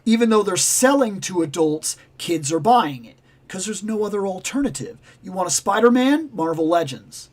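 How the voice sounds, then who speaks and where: A man hosting a toy livestream in casual, rambling chat, with a voice going raspy and hoarse. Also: high-pitched, normal speed. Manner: rambling, animated, self-interrupting. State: raspy, losing his voice. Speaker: toy channel livestream host.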